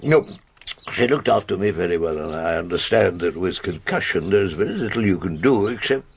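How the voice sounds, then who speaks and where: A man's voice: deep voice, expressive, a little fast, creaky, husky, exaggerated voice. An actor playing a muddled, respectable elderly English clergyman, stammering mildly and apologetically in a radio drama. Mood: mildly explaining. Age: older. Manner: hesitant, stammering, genteel and flustered.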